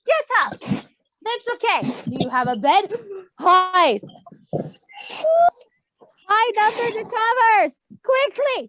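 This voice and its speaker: A woman's voice: very high-pitched, sing-song, takes it slowly, pauses often, a bit bright, no echo, poor audio. A woman telling a story to a class of children in a theatrical, exaggerated way, repeating phrases as a teacher does. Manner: gasps for dramatic effect.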